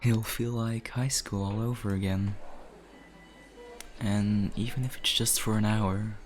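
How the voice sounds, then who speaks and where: An actor playing a caring young adult brother comforting his sister in an ASMR roleplay, speaking gently and hesitantly with soft, breathy chuckles. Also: medium pitch, flat delivery, measured pace, soft background music, close to an everyday voice. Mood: wistful, sincere.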